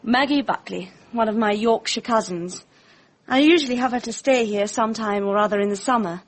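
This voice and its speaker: A woman's voice: a little high, a little fast, lightly acted, rough recording. An actress playing a flippant, breezy young upper-class Englishwoman with a British accent, wry and offhand, in a radio drama. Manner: wry, offhand, drawling society slang.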